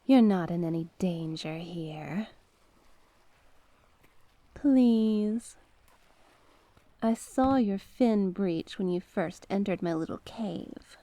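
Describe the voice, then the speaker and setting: A woman as a slow, coaxing sea witch. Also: medium pitch, lively intonation, mellow, no echo. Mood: coaxing, sly. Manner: coaxing, genteel, terms of endearment. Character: mysterious sea witch, coaxing, sly, courteous monstrous cave dweller. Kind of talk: ASMR roleplay.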